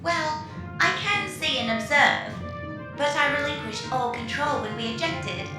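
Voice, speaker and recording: Woman playing a high-voiced, chirpy echoing ship AI. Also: lively intonation, measured pace. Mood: cheerful, matter-of-fact. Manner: chirpy, gushing, wide-eyed. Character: excitable, naive newly self-aware female ship AI. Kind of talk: comedy audio drama acting. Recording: echoing voice with music behind.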